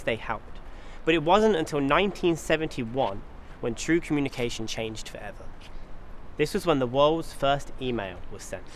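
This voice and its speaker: A man's voice: on the high side, a little hiss or hum. A man giving an earnest scripted documentary narration, often posing rhetorical questions. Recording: loud music behind voice. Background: loud music.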